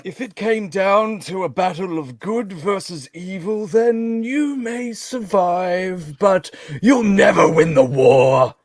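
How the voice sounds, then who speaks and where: A man's voice: sits high, slow pace, hardly any pauses, no room sound. A man voicing a male alien Sith villain, theatrical and petulant, in a comedic sci-fi table read. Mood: mock menace.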